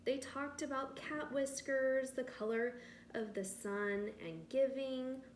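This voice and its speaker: Female read-aloud teacher, expressive and unhurried, explaining simply for children; echoey room, faint noise. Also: high-pitched. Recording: roomy echo with faint background noise.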